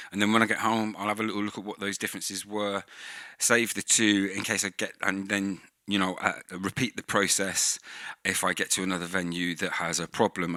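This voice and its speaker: Man, lowish bright voice, casual tutorial host, close on a dry vocal mic. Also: no room sound. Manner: close-miked, talks right on the mic at times. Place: indoor room, dim light. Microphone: vocal mic with pop screen through mixer.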